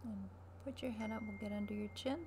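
Soft-spoken creaky ASMR woman. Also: medium pitch, fairly even intonation, a little fast. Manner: quiet, gentle, soothing. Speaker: ASMR roleplayer playing a barber. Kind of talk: soft-spoken ASMR personal-attention roleplay. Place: small furnished room at home, indoors. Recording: noisy webcam-style home recording.

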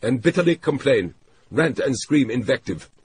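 A man voicing a stern Japanese male trade official who speaks in clipped, formal phrases and shouts when angered. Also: mid-range voice, lively intonation, normal speed, slight background noise, pitched above the performer's own voice, lightly acted. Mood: firm, urgent.

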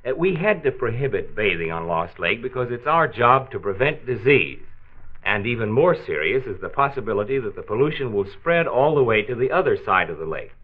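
Animated genial county doctor, old tinny radio. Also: male voice, medium pitch, a little fast. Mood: serious, patient. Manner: avuncular, explanatory. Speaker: health commissioner. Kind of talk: 1940s radio drama acting. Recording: tinny band-limited 1940s transcription disc.

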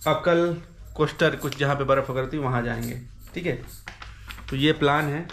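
Chatty man vlogging, faint background noise. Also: mid-range voice. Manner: informal, chatty, colloquial, addressing viewers. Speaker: travel vlogger. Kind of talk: casual vlog chat to camera.

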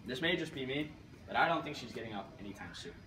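A young man acts out a self-absorbed high school guy in an amateur comedy skit. He talks casually and deadpan, at an ordinary pitch. The sound is echoey and distant, with loud room noise. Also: fast, close to an everyday voice. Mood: flustered. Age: young adult. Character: self-absorbed high school student torn between bickering inner voices. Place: school stairwell, hallway and gym. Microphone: camera mic at a distance.

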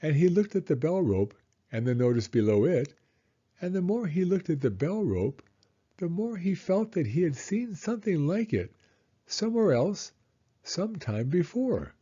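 A man's voice: on the high side, sing-song, normal speed, warm tone, husky, lo-fi sound. A man reading a children's story aloud as scripted narration. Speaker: story reader.

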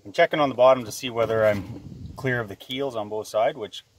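A man's voice: medium pitch, brisk. A man hosting a hands-on repair vlog, chatting casually and folksily to viewers, with wry jokes and muttered asides. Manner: folksy, wryly joking, muttering asides to himself. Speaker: host of a do-it-yourself repair vlog. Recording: loud clattering and clicking work noise.

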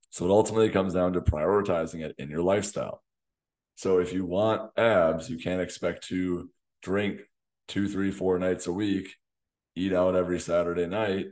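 Low-voiced man, slightly hoarse coach. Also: darkish tone. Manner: direct, coaching. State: slightly hoarse, losing his voice. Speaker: fitness coach and podcast host. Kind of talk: solo podcast talk to camera. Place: small home gym. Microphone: desk condenser mic in front of him.

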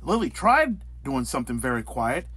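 A man narrating a children's picture book in a theatrical, exaggerated, deliberate way. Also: mid-range voice, very animated, fairly bright tone, husky, no room sound. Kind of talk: animated scripted picture-book reading.

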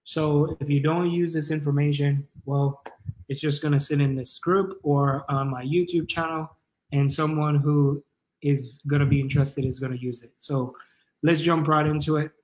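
A man's voice: a little high, steady tone, moderate pace, a little mellow, low-quality recording. A man in his thirties with a Jamaican accent, giving a casual live tutorial in an informal, encouraging, rambling way. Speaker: online business coach and livestream host. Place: kitchen or living room at home, indoors.

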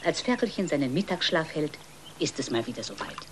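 A woman narrator telling a scripted children's story in a measured storytelling manner. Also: low voice, animated, background noise.

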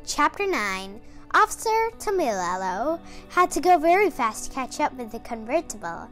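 A woman reading a children's story aloud in an expressive, deliberate manner for beginning readers. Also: high voice, soft background music.

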